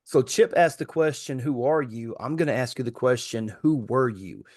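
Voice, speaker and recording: A man's voice: medium pitch, moderate pace, darkish tone, dry recording, studio quality. A man co-hosting a podcast, putting questions to a guest in a casual interview style. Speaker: podcast co-host.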